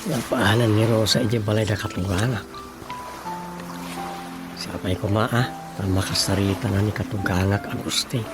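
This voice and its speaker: A man's voice: fairly low pitch, steady tone, normal speed, pauses often, lightly acted. A man playing a brooding, grumbling household character in an Ilocano radio drama, with an Ilocano accent and muttering delivery. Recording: loud music behind the voice.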